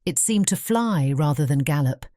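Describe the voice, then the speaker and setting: A woman's voice: low-pitched, lively intonation, moderate pace, mellow, dry recording, clean studio sound. A woman narrating a fairy tale audiobook from a script.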